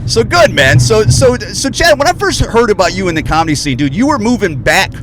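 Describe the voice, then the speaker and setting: A man from Ohio hosting a casual podcast chat, excitable, swearing freely and saying "dude" constantly. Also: high voice, brisk, noisy background. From Ohio, USA. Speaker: podcast host.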